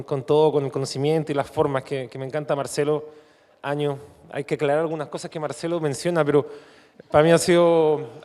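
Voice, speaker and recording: A man's voice: a little high, darkish tone. A Chilean man speaking unscripted as a panelist at a conference, often searching for his words. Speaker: guest panelist, academic. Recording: echoey hall with long reverb.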